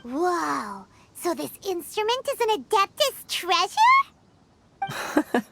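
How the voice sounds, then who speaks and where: Very high squeaky little fairy companion. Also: female voice, wildly swooping pitch, very slow, creaky, very noisy, full character voice. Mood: surprised, curious. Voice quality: squeaky, childlike. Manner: cutesy, exclamatory. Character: small childlike fairy companion, chirpy, suspicious, excitable. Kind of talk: anime game voice acting. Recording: loud background music.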